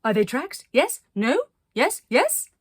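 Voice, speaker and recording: A woman voicing a flamboyant, excitable woman artist with a high, breathy, theatrical voice in a children's storybook reading. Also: a little slow, no echo, full character voice. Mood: excited. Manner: overdramatic, calls friends pet names.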